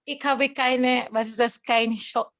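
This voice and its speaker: A woman's voice: a little high, fairly even intonation, takes it slowly, a bit bright. A woman from the Philippines with a Filipino accent, a nurse being interviewed, who halts to search for words and laughs often. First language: Cebuano. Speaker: guest, nurse working abroad. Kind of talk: casual interview chat mixing German and English.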